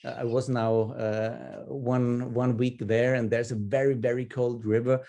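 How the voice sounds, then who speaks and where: Austrian guy in his 50s, german native, mellow voice, kinda hesitant telling his own stories in an online session, repeats words. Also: medium pitch, little pitch movement, measured pace. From Upper Austria. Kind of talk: personal storytelling in an online group session. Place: video call.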